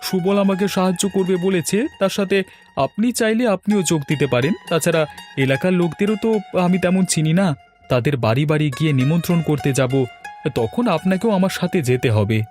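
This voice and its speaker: A man's voice: high voice, fairly quick, darkish tone, faint music behind, close to an everyday voice. A man voicing an earnest, respectful young village son-in-law in a cartoon fairy tale, polite and well-meaning. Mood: earnest, humble.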